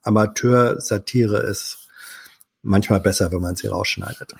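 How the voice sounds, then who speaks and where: A man's voice: lowish voice, moderate pace, gravelly, slightly rough, dry recording. A man chatting casually as a guest, hesitant, with many fillers and restarts. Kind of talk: casual chat.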